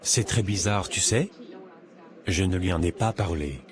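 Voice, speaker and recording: A man's voice: low-pitched, a little slow, crowd noise. A man voicing a devout, steadfast young suitor who speaks earnestly in a cartoon. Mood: troubled.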